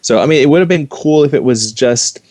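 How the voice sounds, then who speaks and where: A man co-hosting a casual webcast, relaxed and easygoing, with many repeated words and fillers. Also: medium pitch, fairly quick, dry recording, clean studio sound. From Los Angeles. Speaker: podcast co-host. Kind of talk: casual chat.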